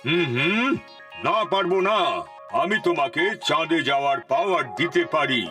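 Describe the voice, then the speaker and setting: A man voicing a magical talking hat in a Bengali cartoon dub, with slow, drawn-out incantations. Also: fairly high pitch, over music, lightly acted. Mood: matter-of-fact. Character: magical talking hat granting powers, sing-song and obliging.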